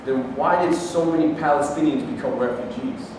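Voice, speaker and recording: A man's voice: fairly high pitch, moderate pace, darkish tone, recorded from a distance, lightly acted. Guy playing a stubborn indignant arguer in a stage play reading, super echoey hall, noisy recording. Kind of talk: staged reading of scripted dialogue.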